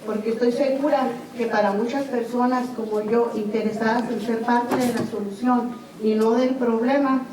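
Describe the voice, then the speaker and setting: A woman's voice: on the high side, fairly even intonation, moderate pace. A woman resident giving earnest prepared testimony at a city budget hearing. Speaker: resident giving public comment. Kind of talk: formal prepared public testimony. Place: public meeting hall. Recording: very echoey hall, distant mic, crowd.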